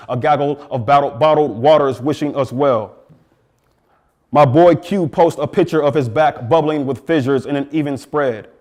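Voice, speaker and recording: An American man from Flint, Michigan, a poet reading his work aloud in a deliberate, incantatory manner. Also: mid-range voice, a little mellow. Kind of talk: poetry reading.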